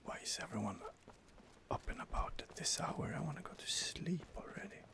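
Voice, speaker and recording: A man giving soft, hesitant, whispered ASMR commentary over gameplay into a close home microphone, pausing often on fillers. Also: a little high, lively intonation, gravelly. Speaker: let's play host.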